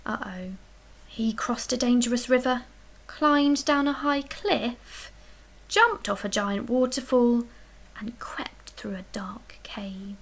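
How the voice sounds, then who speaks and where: A woman reading a children's picture book aloud as a storyteller, expressively, with dramatic emphasis and hushed, breathy dips. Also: fairly high pitch, takes it slowly, rough recording. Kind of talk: expressive read-aloud bedtime story narration.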